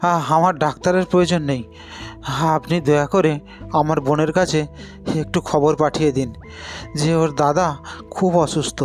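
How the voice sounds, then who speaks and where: A man's voice: a little high, steady tone, normal speed, long pauses, soft background music, lightly acted. A man as a humble, earnest poor village brother. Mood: earnest, weary. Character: poor, self-sacrificing, humble elder brother, a village labourer. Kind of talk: Bengali moral-story cartoon acting.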